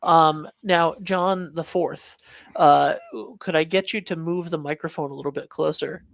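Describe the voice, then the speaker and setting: A man with a somewhat high-pitched voice hosts a show. He is casual and polite, repeating words as he goes, and the sound is fairly dry. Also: steady tone, measured pace, gravelly, no room sound. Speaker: show host.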